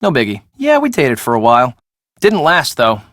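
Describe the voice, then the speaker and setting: A sarcastic young woman in a video game, casual and slangy. Her pitch swings freely as she makes her wry remarks, and the sound is close and dry. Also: huge pitch swings, moderate pace, fairly bright tone, dry recording, full character voice. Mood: breezy. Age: young adult. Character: breezy, sarcastic young woman, evasive friend of the groom. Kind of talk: video game dialogue acting.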